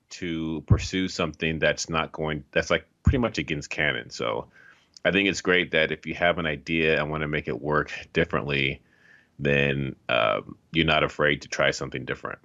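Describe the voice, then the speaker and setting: Low-voiced man on a dull, lo-fi track. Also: pushed and bright. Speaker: interview guest, voice actor. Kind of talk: casual chat.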